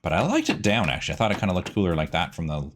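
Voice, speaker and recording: Male gaming host: deeper-set voice, very animated pitch, casual, rambling, easygoing commentary. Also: racing, slight background noise.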